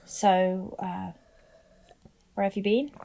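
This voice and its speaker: A woman playing a composed, dry-humoured police detective in a naturalistic audio drama, with a measured, professional manner. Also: medium pitch, steady tone, vocal fry, mellow. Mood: worried, probing. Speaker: actor in an audio play.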